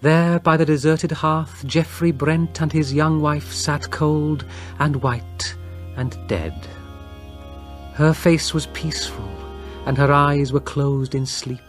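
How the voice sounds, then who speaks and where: A man narrating a gothic horror story in a breathy, husky voice, with grave, suspenseful, deliberate phrasing. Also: fairly high pitch, fairly even intonation, faint music behind. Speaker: audiobook narrator.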